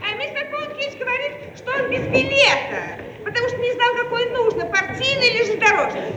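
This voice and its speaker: A woman playing a brisk interpreter in a theatrical satirical radio comedy. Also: very high-pitched, animated, recorded from a distance, a loud crowd. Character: brisk interpreter speaking for a foreign gentleman. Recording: muffled, noisy, echoey hall from afar.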